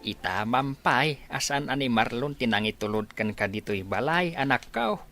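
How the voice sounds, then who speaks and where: A man's voice: medium pitch, animated, moderate pace, bright, forceful tone, faint music behind. A man playing an inquisitive, puzzled older father in an audio drama.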